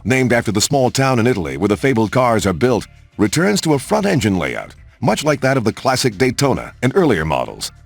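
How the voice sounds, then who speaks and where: A male narrator delivers a scripted showcase with polished, measured phrasing. His mid-pitched voice moves expressively up and down. The recording is dry and close, with faint music behind him. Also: no echo.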